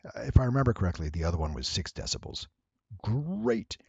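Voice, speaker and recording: Male tutorial presenter, deep and creaky, rambling and playful, slightly dull recording. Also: sing-song, a little fast, darkish tone, dry recording. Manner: rambling, playful, exclaiming to viewers. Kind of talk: casual software walkthrough, thinking aloud.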